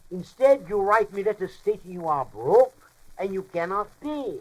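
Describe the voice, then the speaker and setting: A man's voice: sits high, low-quality recording. A man playing a pompous, bumbling comic French professor in a radio monologue, lecturing in muddled, mock-formal language and mangled words. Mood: salesman-like patter.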